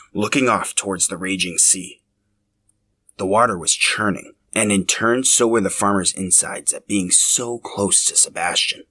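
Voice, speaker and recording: A man reading scripted story narration in an expressive, dramatic storyteller's manner. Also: deep voice, no echo. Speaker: podcast host telling a story.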